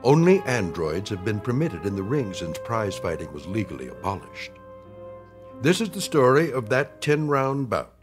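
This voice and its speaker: A man giving scripted, measured dramatic narration for a radio play. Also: fairly low pitch, big pitch swings. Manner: measured, ominous, with hushed endings. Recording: radio drama with music bed.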